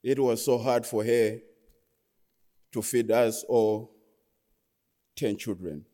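A man in his twenties with a Zimbabwean accent, giving a personal testimony as a guest speaker in an earnest, halting way that often restarts phrases. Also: medium pitch, mellow. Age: 20s. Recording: long hall reverb.

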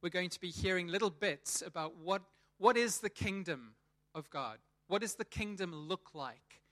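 Church speaker, male, 30s, South African accent, high and bright, chatty, restarts his phrases. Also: expressive, moderate pace. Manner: chatty, repeats words as he restarts. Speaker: church leader preaching. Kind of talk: sermon.

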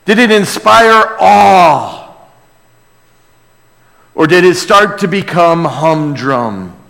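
A man preaching and praying to a congregation, earnest in manner, swelling from hushed to emphatic. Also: sits high, lively intonation, very slow, gravelly. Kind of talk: sermon and prayer to a congregation. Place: large church room or hall. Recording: reverberant hall sound.

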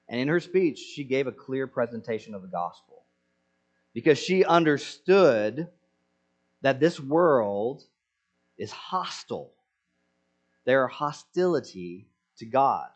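A man's voice: medium pitch, animated, slow pace, long pauses. A man preaching a sermon in an earnest way, asking rhetorical questions to the congregation. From Senegal. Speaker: pastor.

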